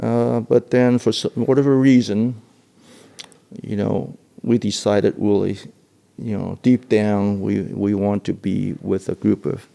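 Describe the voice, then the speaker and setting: An older man from Hong Kong giving an oral history interview, speaking haltingly with frequent repeated words and laughs. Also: on the low side, level tone, creaky, mellow.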